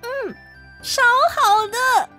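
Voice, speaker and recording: A woman's voice: very high-pitched, very slow, sharp tone, faint music behind, exaggerated voice. A woman playing a gushing, starstruck young daughter with a Taiwanese accent in a comedic audio drama. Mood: dreamy, gushing.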